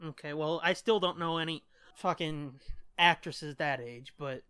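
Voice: mid-range voice, a little slow, fairly bright tone, clean tone, no room sound. A podcast co-host chatting casually and swearing freely. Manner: profane. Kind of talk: casual chat.

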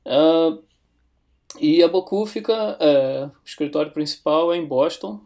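A Brazilian man speaking with a slightly higher voice than most men. He chats casually as a podcast guest, unhurried and thoughtful, and often pauses to restart his sentences. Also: vocal fry. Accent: Brazilian Portuguese. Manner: relaxed, thoughtful, with frequent fillers and restarts. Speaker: podcast guest, software developer. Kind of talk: casual chat.

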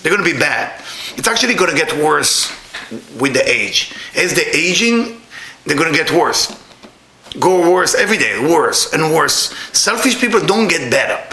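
A lively, bright-voiced man with an Israeli accent lectures a live audience, his pitch swinging widely and climbing whenever he mimics the people he quotes. He is middle-aged, his English loose in grammar. The sound rings around a roomy hall. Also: moderate pace, slight background noise. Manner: animated, leaps high to act out quoted people, non-native grammar.

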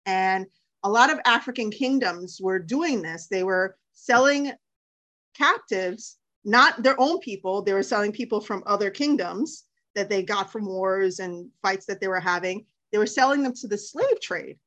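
A middle-aged woman co-hosting a podcast, chatting casually with quick enthusiasm and frequent laughter. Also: medium pitch, a bit bright, dull audio. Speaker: podcast co-host. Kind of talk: casual chat.

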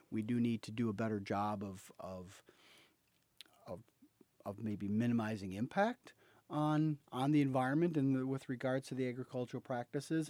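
A man of middle pitch speaks as an interview guest, thoughtful and halting, repeating small words as he looks for the right phrase. The sound is dry and close. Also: mellow, dry recording. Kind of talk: interview answers.

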